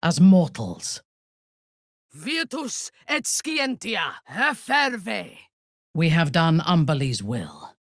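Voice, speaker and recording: A woman playing a haughty, commanding female warrior cleric with a husky voice, cruel yet intimate, in a fantasy video game. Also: fairly low pitch, very animated, no echo, studio quality, full character voice. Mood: incanting. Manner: commanding, haughty, deliberate, theatrical.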